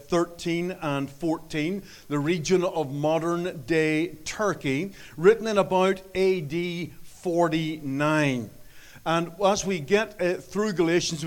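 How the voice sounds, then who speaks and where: Irish pastor preaching, fairly high voice. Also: male voice. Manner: earnest, halting with many fillers. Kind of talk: sermon with scripture reading.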